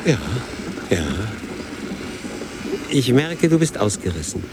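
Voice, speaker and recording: Very slow, brooding man. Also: mid-range voice, very animated, background noise. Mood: curt, evasive. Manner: halting, repetitive, terse. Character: brooding, despairing middle-aged man Max, curt, evasive. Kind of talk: radio drama monologue and dialogue.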